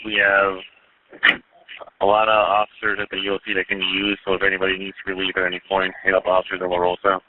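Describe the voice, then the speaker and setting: Male police commander coordinating units by radio. Low pitch, phone-like two-way radio sound. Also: completely flat delivery, quick pace, a little hiss or hum. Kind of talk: police radio dispatch traffic.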